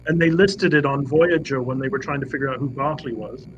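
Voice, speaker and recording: A man's voice: mid-range voice, a little fast, highs cut off, a little hiss or hum. A man co-hosting a quiz podcast in casual chat, teasing and joking.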